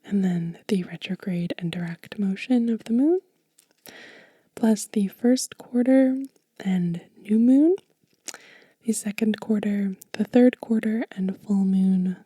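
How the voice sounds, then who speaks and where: A woman speaks in a very soft, dark and mellow whisper, as an ASMR host would. Her delivery is gentle and slow, pausing between items. The voice is breathy and recorded close and dry. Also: medium pitch, dry recording. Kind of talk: soft-spoken whispered ASMR show and tell. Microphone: close mic in a dry space.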